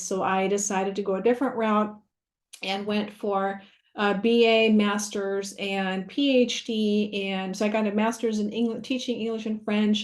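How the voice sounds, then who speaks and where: A middle-aged woman from Germany, a native German speaker, telling her life story at an online open mic, measured and pausing often with fillers. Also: medium pitch. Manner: measured, with frequent filler pauses. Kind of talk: personal story told at a networking event. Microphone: video call.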